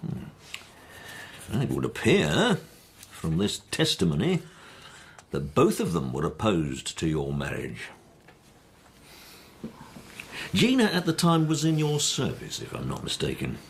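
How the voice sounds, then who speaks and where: An actor playing a formal, methodical police superintendent in a radio drama, questioning in a measured, insistent way. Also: male voice, deep voice, huge pitch swings, gravelly, slight background noise, heavily acted. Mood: coolly probing. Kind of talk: BBC radio drama dialogue.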